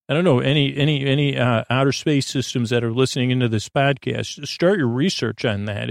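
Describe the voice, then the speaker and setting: A middle-aged man speaks in a gravelly, creaking voice of ordinary male pitch, recorded very dry. He delivers a meandering bedtime monologue as a podcast host. His sentences stall, restart and loop back on themselves. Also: no echo. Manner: halting, circling, self-interrupting delivery with false starts.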